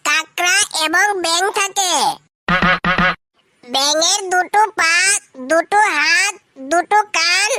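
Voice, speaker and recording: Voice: very high voice, pushed and bright, full character voice. A performer voicing a cheeky, overconfident young schoolboy in a comedy cartoon, earnestly answering his teacher like a child. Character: cheeky young schoolboy pupil, dim but confident.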